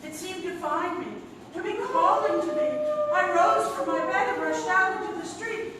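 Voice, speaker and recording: Actress as high-voiced archivist, very echoey. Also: female voice, big pitch swings, normal speed. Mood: frightened, intense. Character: woman archivist reading a haunted man's diary aloud. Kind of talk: dramatic reading of an old diary. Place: live stage in a theatre. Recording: very echoey noisy theatre, far off.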